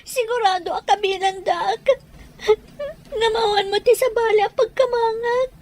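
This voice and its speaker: A woman's voice: very high voice, fairly even intonation, unhurried, darkish tone. A woman playing a fearful, pleading young pregnant daughter in an audio drama. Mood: tearful pleading.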